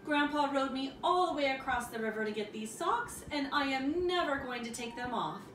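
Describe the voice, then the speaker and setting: A woman voicing an excitable, stubborn young girl in a children's storybook, exclaiming and repeating words emphatically. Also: sits high, normal speed, echoey room, distant mic, music in the background, voice pushed up, poor audio. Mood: thrilled.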